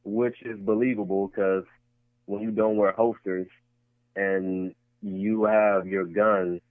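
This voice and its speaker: A man's voice: on the low side, no room sound. A man co-hosting a casual podcast who argues his point and keeps repeating himself. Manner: repetitive, argumentative, restarts points. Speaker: podcast co-host. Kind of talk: casual debate chat. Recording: tinny lo-fi podcast audio.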